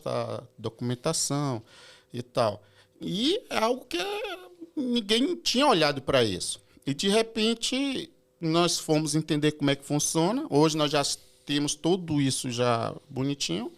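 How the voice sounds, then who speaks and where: A man's voice: on the high side, very animated, a little slow, creaky. Hesitant man explaining his service. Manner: hesitant, many filler "é"s and restarts. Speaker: airport assistance company representative. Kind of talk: casual podcast conversation.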